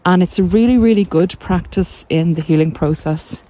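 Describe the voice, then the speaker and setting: A middle-aged woman giving reflective, informal podcast advice in a measured, deliberate way with pauses. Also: fairly low pitch, mellow, rough recording. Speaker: podcast host and narcissistic abuse recovery coach. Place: walk outdoors along the coast.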